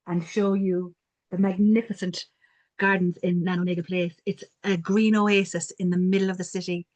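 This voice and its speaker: A woman from Cork with a strong Cork Irish accent, telling reflective stories on a video call panel. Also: mid-range voice. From Cork, Ireland. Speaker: community development worker. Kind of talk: online panel talk.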